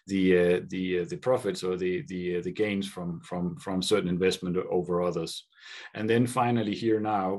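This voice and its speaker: Male conference speaker, Scandinavian accent, deep husky voice, halting delivery. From Denmark and Sweden. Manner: many fillers and repeated words. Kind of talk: conference presentation. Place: video link from Colombia.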